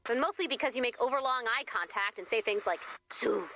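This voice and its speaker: An actress playing a young, perky camp leader in a video game, chatty, expressive and wryly exasperated. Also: female voice, fairly high pitch, a little fast, background noise, natural voice. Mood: wry, incredulous. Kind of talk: video game character voice lines.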